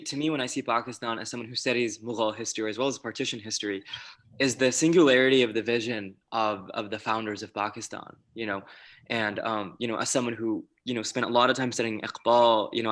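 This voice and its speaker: A man's voice: on the low side, fairly even intonation, fairly quick, a bit bright. An American man speaking as a panel guest, earnest and lecturing, often repeating his words. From United States. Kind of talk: earnest discussion.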